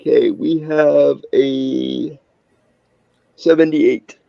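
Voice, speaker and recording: A man's voice: mid-range voice, steady tone, very slow, creaky, warm tone, no room sound. A man chatting casually as a player in a tabletop roleplay game, on dull audio.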